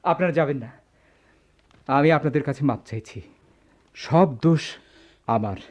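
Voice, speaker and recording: An actor playing a proud gold merchant boss in a radio drama, speaking formal Bangladeshi Bengali with theatrical emphasis. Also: male voice, mid-range voice, normal speed, natural voice. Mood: remorseful, pleading. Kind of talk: Bengali radio play acting. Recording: dull lo-fi radio drama recording.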